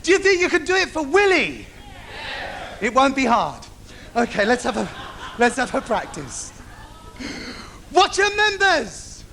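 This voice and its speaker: Very high swooping voice, camp cheeky pantomime sidekick, bitchy banter, noisy live theatre recording. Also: male voice, wildly swooping pitch, measured pace, pauses often, full character voice. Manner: camp, bitchy, exaggerated calling out to the audience. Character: Wishy Washy, camp cheeky young pantomime comic sidekick man. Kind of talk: adult pantomime stage comedy with audience banter. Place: theatre stage.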